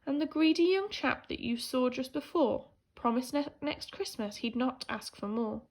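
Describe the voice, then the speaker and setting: Very animated, high-voiced woman storyteller. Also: moderate pace. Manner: animated, theatrical storytelling with hushed and emphatic swings. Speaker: primary school teacher reading a story. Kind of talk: expressive children's picture-book read-aloud.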